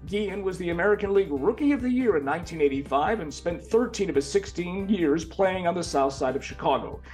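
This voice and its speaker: High-voiced male podcast host, polished read. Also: lively intonation, fairly quick, darkish tone. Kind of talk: scripted intro narration. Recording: faint music bed under voice. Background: faint music.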